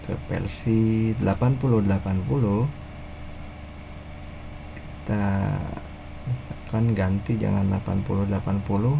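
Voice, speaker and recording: Slow, low-voiced Indonesian man. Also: gravelly, noisy background. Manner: casual, halting step-by-step delivery. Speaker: software developer presenting own app. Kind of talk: informal software tutorial narration.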